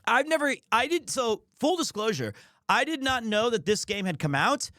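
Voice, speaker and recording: A man hosting a livestream, talking casually to his audience in a rambling, jokey, expressive way. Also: very high voice, normal speed, no echo, clean studio sound. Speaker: livestreamer and host. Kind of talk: casual chat to a stream audience.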